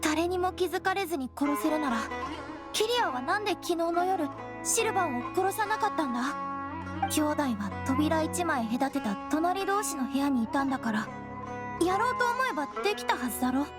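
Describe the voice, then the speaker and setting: A woman's voice: sits high, animated, normal speed, over loud music. A woman playing a young, earnest, brooding traveler with plain, direct speech in a Japanese game. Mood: troubled, pensive.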